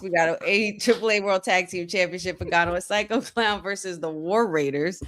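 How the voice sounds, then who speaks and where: A young woman with an American accent, co-hosting a podcast in casual chat and reading news with easy laughs and fillers. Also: lowish voice. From United States. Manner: relaxed, laughs often, trails off with fillers. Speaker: podcast co-host.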